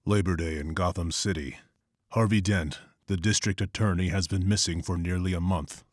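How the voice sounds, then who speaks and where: A very deep, husky male voice, close and dry. He is a grim masked vigilante in an animated comic drama, narrating in terse, measured, somber phrases. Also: no echo. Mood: grim, brooding.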